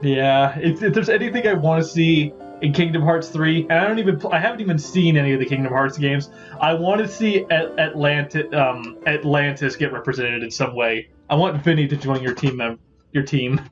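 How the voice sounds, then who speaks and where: A man's voice: on the high side, a little fast. Chatty man with a dull lo-fi mic. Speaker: host of a gaming series. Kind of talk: casual gameplay commentary. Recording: dull lo-fi mic, faint music behind.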